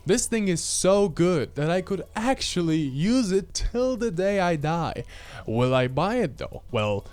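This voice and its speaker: A young man, reviewing a gadget to camera in a casual, animated, self-mocking way, holding a handheld mic in a small room at home. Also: on the high side, clean tone, no echo. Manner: chatty, animated, self-mocking, gestures while talking. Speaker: tech reviewer. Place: small home room with audio gear, indoors.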